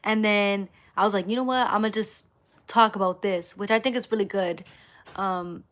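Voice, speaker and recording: Woman livestreamer, mid pitch, casual pep talk, says stuff twice to drive it home. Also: steady tone, normal speed, fairly bright tone. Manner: emphatic, repeats phrases for emphasis. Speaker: live streamer.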